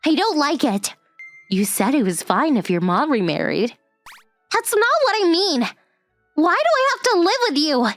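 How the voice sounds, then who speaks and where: A woman's voice: sits high, big pitch swings, no room sound, soft background music. A woman voicing a prickly, easily flustered young stepsister in a manga dub, with a huffy, defensive manner. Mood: huffy, embarrassed. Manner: huffy, defensive, slow-paced. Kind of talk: manga dub character acting.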